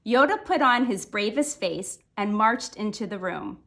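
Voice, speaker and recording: A woman reading a children's picture book aloud, phrasing deliberately with dramatic emphasis. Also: mid-range voice, lively intonation, gravelly, fairly bright tone. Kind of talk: animated children's story read-aloud.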